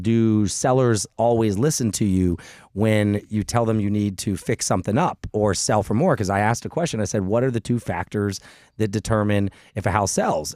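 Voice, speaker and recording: A man's voice: on the low side, dry recording. Joking talk-radio host man, dry studio sound. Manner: joking, rambling, animated. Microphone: studio mic.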